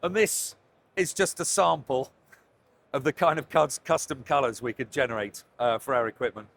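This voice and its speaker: A man's voice: medium pitch, normal speed, fairly bright tone. A British man gives an enthusiastic, effusive product presentation as a company representative, stressing and repeating words of praise. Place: trade show floor. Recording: clear background noise of busy trade show. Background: trade show ambience noise.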